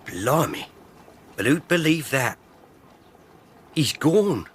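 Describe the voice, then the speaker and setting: Matey cabbie. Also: male voice, fairly high pitch, sing-song, takes it slowly, slightly rough, exaggerated voice. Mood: breezy, then baffled. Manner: informal, familiar, breezy. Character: chatty, matey Victorian London cab driver. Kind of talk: period radio drama acting.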